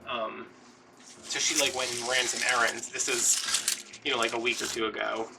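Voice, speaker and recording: A man's voice: medium pitch, steady tone, normal speed, vocal fry, distant mic, heavy background noise. An American man in his late thirties chatting casually and rambling through playfully dramatic stories as he does a craft vlog. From New Orleans area, USA. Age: late 30s. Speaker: craft vlogger. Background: background music.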